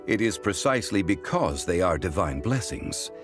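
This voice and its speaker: An actor playing a calm, dignified ancient god living as a mortal in a game, speaking in a measured, formal and reflective manner. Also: male voice, low-pitched. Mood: grave, explanatory. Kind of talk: scripted game cutscene voice acting. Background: soft game music.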